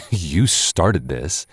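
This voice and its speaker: Deep-voiced, flustered tipsy roommate played by a man. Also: fairly bright tone, slightly rough, exaggerated voice. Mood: frustrated, smitten. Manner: stammering, defensive, conversational. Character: tipsy, flustered, lovestruck male roommate, defensive but flirty. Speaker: voice actor. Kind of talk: intimate roleplay audio acting.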